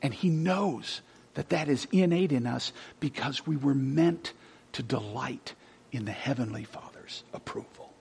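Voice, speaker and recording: A male pastor preaching a sermon, reading scripture loudly and dropping to soft, hushed whispers. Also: medium pitch, measured pace, creaky, breathy edge. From Texas.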